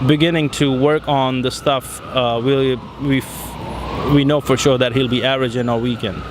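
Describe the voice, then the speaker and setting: A man's voice: medium pitch, steady tone, moderate pace. Indian man talking over street traffic. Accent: Indian, Punjab. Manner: hesitant, many fillers. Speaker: interview guest. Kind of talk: casual interview. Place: outdoors in a city square. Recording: street traffic noise behind. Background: city traffic.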